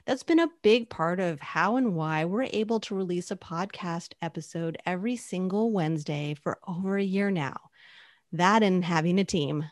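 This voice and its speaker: A woman co-hosting a podcast who delivers prepared points in a steady, earnest way. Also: on the low side, normal speed, no echo, clean studio sound. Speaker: podcast co-host. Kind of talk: scripted podcast chat.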